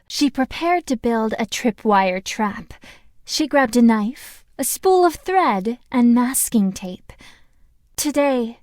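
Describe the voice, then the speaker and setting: A woman voicing a young female video game narrator who calmly recounts a killer's schemes in a measured, scripted way. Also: on the high side, no echo, studio quality. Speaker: voice actress for a game character.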